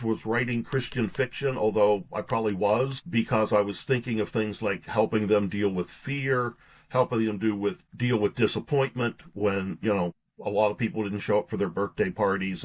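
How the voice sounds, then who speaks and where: A middle-aged American man speaks in a fairly low, husky voice. He is an earnest interview guest who talks in anecdotes, voicing the dialogue as he goes. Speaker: interview guest, author and pastor. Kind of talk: conversational personal storytelling.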